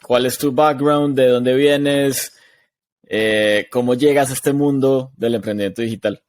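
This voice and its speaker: A man's voice: mid-range voice, unhurried, darkish tone, dry recording. Male podcast host, mid-range voice, dry close sound, upbeat interviewer. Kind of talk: scripted podcast intro and interview questions.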